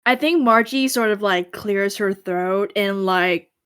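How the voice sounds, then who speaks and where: A woman's voice: mid-range voice, slow pace, fairly bright tone, clean tone. A woman playing a tabletop game, casually and chattily narrating what her character does.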